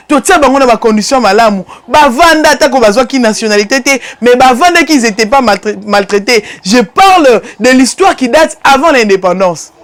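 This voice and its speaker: A woman from DR Congo with a Congolese accent, hosting a political commentary show and speaking emphatically, climbing higher and louder on key points before dropping low. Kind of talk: impassioned political commentary monologue.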